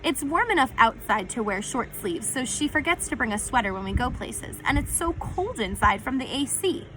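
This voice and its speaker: A woman's voice: a little high, big pitch swings, normal speed, over music, poor audio. A woman voicing a spirited, wry teenage girl in a storybook reading.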